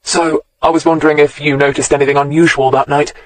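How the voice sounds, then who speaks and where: A man's voice: a little high, flat delivery, fast, dry recording, natural voice. A man voicing a polite, dogged young detective constable asking careful questions.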